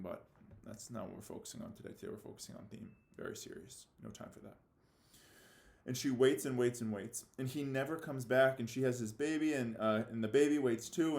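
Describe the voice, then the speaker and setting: A man speaking as a fifth-grade teacher giving a read-aloud lesson, halting and theatrically mock-serious in his delivery. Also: mid-range voice, darkish tone. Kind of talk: read-aloud lesson for children, reading a novel with commentary. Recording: echoey room.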